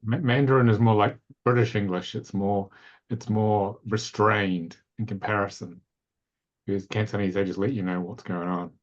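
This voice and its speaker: A man's voice: lowish voice, moderate pace, dull audio. A man leading a training session, chatting expressively and telling stories on a video call. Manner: expressive, talkative, anecdotal. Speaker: course instructor. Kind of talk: casual teaching chat on a video call.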